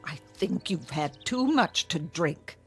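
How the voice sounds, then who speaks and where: Slow, fretful older mother. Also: lowish voice, expressive, slightly rough, exaggerated voice. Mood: weary, chiding. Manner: anxious, chiding, soothing. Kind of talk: old-time radio drama acting. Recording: radio drama with music underneath.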